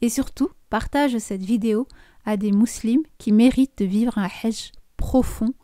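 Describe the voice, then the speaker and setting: A woman coaching viewers on prayer and pilgrimage, speaking gently and directly to the listener, sometimes dropping to a soft near-whisper. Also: fairly high pitch, no echo, clean studio sound. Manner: slow, deliberate delivery with soft intimate passages. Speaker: hajj preparation coach. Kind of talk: direct-to-camera spiritual guidance.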